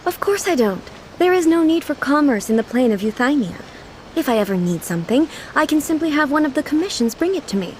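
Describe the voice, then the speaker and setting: A woman's voice: high voice, animated, brisk, slight background noise. A woman playing an aloof, regal young ruler in a video game, formal and composed, with an unworldly curiosity. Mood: matter-of-fact, aloof. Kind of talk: game character acting. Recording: light music behind the voice. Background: game music.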